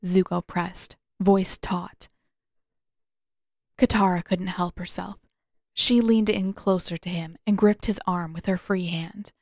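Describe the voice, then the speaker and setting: A woman reading scripted fiction aloud as a narrator, with expressive, dramatic delivery. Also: mid-range voice, no echo, clean studio sound. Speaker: audiobook narrator of fan fiction.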